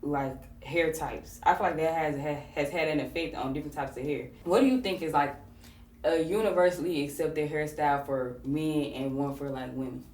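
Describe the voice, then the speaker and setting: A woman hosting a podcast, talking in a relaxed, conversational way with plenty of fillers. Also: deep voice, moderate pace, reverberant, recorded from a distance. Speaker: podcast host. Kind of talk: casual conversation.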